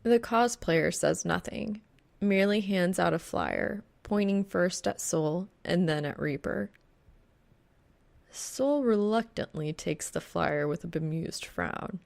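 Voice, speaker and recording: A woman's voice: on the low side, slow, creaky, a little mellow. A woman reading a piece of fan fiction aloud as scripted audiobook narration.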